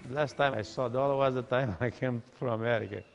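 Brazilian man in halting English. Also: mid-range voice, creaky, dull audio. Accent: Brazilian Portuguese. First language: Portuguese. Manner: relaxed, halting non-native English. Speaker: interviewee, auto shop owner. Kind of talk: interview. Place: indoor auto workshop. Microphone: lapel mic.

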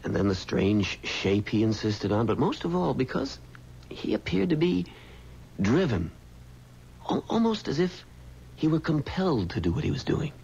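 An actor playing an earnest, uneasy young mortician with a hesitant way of talking in a 1970s radio drama. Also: male voice, medium pitch, very animated, moderate pace, creaky, a little mellow, slightly rough, exaggerated voice. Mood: troubled. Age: young adult. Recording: dull, faintly noisy 1970s radio recording.

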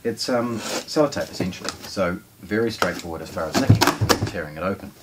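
A man's voice: low-pitched, animated, a little fast, heavy background noise. A man presents a product in a casual, plain-spoken unboxing style, talking to camera indoors. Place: indoors, small showroom with shelves.

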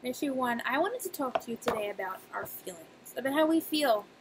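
A young woman, a nursery teacher, reading aloud and talking to young children in simple, expressive speech with emphatic pauses. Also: a little high. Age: young adult. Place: small indoor room. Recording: clear background noise, webcam-style room recording.

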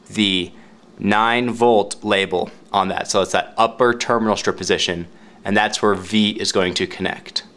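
A man's voice: lowish voice, a bit bright. A man narrating a step-by-step product tutorial in an informal, explanatory way, repeating key points for clarity. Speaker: product demonstrator.

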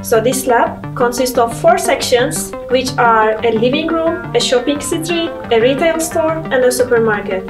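A woman's voice: high voice, a little slow, few pauses. A young woman from Turkey, a PhD student showing viewers around a research lab in a friendly, explanatory way. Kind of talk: guided tour talk. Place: indoor research lab rooms. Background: background music.

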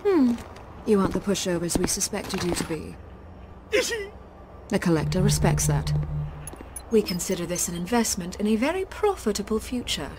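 Game role: icy businesslike envoy, formal and condescending, animated phrasing, voiced by a woman. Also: medium pitch, moderate pace, pauses often, close to an everyday voice. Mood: cold. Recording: game audio with clear ambient noise.

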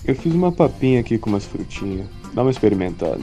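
A man voicing an easygoing, grumbling older father figure in a comic anime parody, with a gravelly voice and Brazilian accent. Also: on the low side, very animated, fast talker, warm tone, faint music behind. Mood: kindly.

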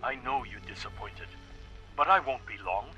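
An actor playing a worried, protective middle-aged father, speaking haltingly but firmly and reassuringly. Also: mid-range voice, sharp tone, faint music behind, lightly acted, rough recording.

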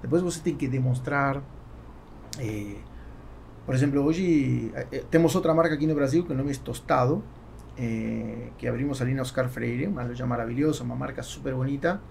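A man with an Argentine Spanish accent talks in an interview, halting as he hunts for words in his second language. His voice is mellow and creaky, with some noise behind it on a close studio mic. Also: medium pitch. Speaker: podcast guest, entrepreneur. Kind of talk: conversational interview. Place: small foam-treated podcast studio. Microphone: close podcast microphone on boom arm.

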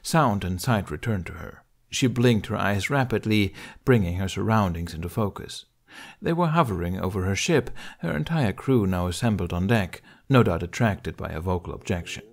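A man reading fiction aloud as an audiobook narrator, with expressive scripted delivery. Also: low voice, normal speed, creaky.

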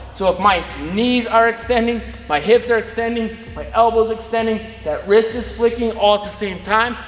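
Male coach, 30s, high voice, casual demo talk, roomy gym sound. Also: a bit bright. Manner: casual, talks while demonstrating moves. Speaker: basketball coach. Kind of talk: instructional video. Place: large indoor gym. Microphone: far camera. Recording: echoey gym with long reverb.

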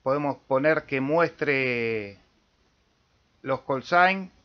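A man with an Argentine accent giving a casual step-by-step software tutorial as host, with small check-in tags. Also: medium pitch, very slow. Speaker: amateur radio tutorial host. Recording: dull lo-fi voice-over recording.

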